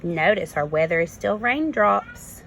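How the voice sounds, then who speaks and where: A woman, a kindergarten teacher, reading a storybook aloud and pausing to explain it simply to young children. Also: mid-range voice, very animated, gravelly, fairly bright tone, a little hiss or hum.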